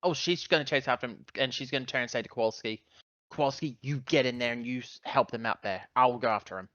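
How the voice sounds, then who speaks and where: A man's voice: medium pitch, quick pace, fairly bright tone, dry recording. Rambling man at a game, slightly muffled. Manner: rambling, hesitant, self-correcting. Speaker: tabletop game player. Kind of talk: casual game talk, describing character actions.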